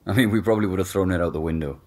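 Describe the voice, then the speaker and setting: A casual young man talks in a very low, easygoing voice. He is wry and a bit tactless, tossing off jokes in an audio drama. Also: quick pace, darkish tone. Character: young man, offhand joking former flatmate.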